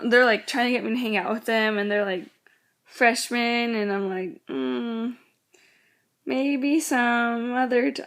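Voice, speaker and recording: A woman's voice: a little high, fairly bright tone. A teenage girl chatting casually in a vlog, rambling with lots of "like" while getting over a cold that makes her voice go in and out. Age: teens. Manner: rambling, many "like"s, quotes others. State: sick, voice going in and out. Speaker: vlogger. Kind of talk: casual chat.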